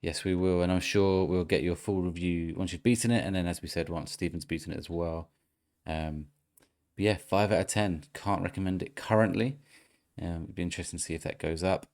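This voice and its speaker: A man with a very low, gravelly voice hosts a relaxed gaming podcast. He runs a guessing game, reads out clues in a steady way and laughs along with his co-hosts. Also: brisk. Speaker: podcast host. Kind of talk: casual podcast chat, quiz host.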